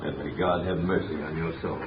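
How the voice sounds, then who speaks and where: A man's voice: sits low, level tone, natural voice. A man playing a stern, formal judge in 1930s radio drama style. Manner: formal, measured pronouncements. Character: stern older male judge, formal and unshaken. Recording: tinny, echoey, distant, noisy 1930s radio.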